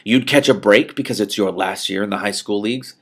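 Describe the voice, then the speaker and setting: A man voicing a stern, blunt female high school track coach in a story reading. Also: fairly quick, breathy edge. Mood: scolding. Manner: barking, blunt.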